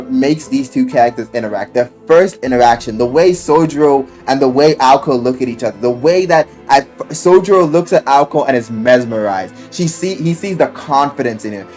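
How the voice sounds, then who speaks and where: A man giving casual, loosely scripted video commentary, rambling and emphatic, with slangy, profane talk. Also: fairly high pitch. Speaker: YouTuber reviewing a visual novel. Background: music.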